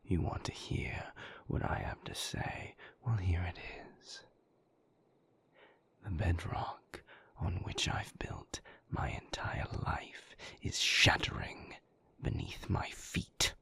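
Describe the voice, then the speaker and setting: Man acting a husky, whispering, brooding vampire boyfriend. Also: sits low, lively intonation, pushed and bright, full character voice. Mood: bitter, despairing. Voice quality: breathy, husky, gravelly half-whisper. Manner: sardonic, cutting, deliberate phrasing. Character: moody, bitter male vampire boyfriend. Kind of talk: intimate audio roleplay acting.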